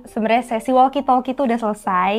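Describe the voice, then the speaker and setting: A woman with a fairly high voice hosts a relaxed interview. She is chatty and encouraging, with faint music underneath. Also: very fast, vocal fry. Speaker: interview show host. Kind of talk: casual chat interview.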